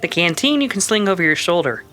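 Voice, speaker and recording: A woman playing a steady, practical frontier woman, firm and matter-of-fact, in a western radio drama. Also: on the low side, fast, faint music behind. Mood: calm, practical.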